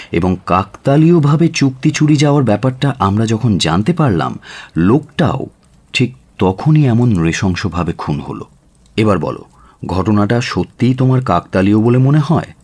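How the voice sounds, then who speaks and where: Deep-voiced, authoritative male detective. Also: a little mellow, slightly rough, full character voice. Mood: probing, intent. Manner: deliberate, emphatic, authoritative. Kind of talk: radio drama acting.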